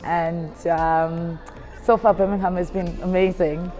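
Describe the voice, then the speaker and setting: A woman's voice: on the low side, flat delivery, a little slow, warm tone, soft background music. A woman from Zimbabwe chatting casually and candidly as a guest. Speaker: guest, Amapiano DJ and producer.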